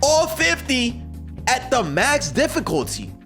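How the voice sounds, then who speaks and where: Young male streamer, excitable slangy reactions, lively mid-pitched voice, music playing behind. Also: measured pace, vocal fry. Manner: excitable, slangy, repeats words. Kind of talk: casual reaction commentary.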